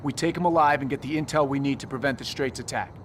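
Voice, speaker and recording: Actor as a terse squad commander, loud noise. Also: male voice, mid-range voice, fast talker, close to an everyday voice. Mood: commanding. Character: decisive male special forces squad commander. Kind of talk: video game cutscene acting. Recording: loud vehicle and outdoor noise.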